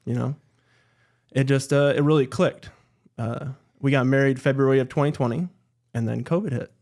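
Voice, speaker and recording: A man guest on a podcast in a studio, telling his story in a relaxed, matter-of-fact way. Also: mid-range voice, normal speed. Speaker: guest, entrepreneur. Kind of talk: casual interview.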